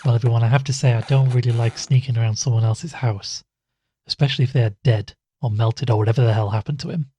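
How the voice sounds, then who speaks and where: Husky, reluctant investigator played by a man. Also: medium pitch, fairly even intonation, fast talker, mellow, dry recording, close to an everyday voice. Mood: weary, reluctant. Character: uneasy male investigator narrating his case, reluctant and wry. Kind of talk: audio drama dialogue and first-person narration.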